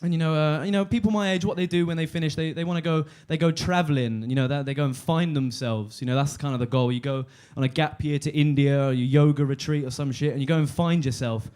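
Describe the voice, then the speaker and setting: A man in his twenties doing a deadpan stand-up set on a comedy club stage, halting with fillers and restarts. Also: a little high, clean tone. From London. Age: 20s.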